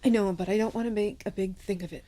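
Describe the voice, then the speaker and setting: A woman's voice: mid-range voice, steady tone, fast, mellow, natural voice. An actress playing a sentimental, heartbroken woman clinging to her lover in an audio drama. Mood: tender, awkward. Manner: emotional, pleading, echoing the other's words.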